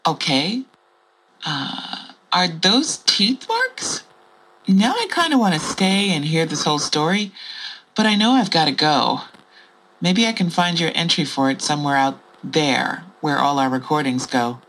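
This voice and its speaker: Enigmatic, candid female wanderer, played by a woman for audio drama; slightly low voice, very lively intonation, blunt delivery. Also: unhurried, heavily acted, rough recording. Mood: amused, blunt. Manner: musing, candid, sweary.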